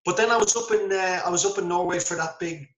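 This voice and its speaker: An Irish man chatting over a video call, telling lively anecdotes with self-deprecating humor. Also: high voice, fast talker, roomy sound. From Ireland. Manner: animated storyteller, self-deprecating. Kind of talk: casual video-call interview.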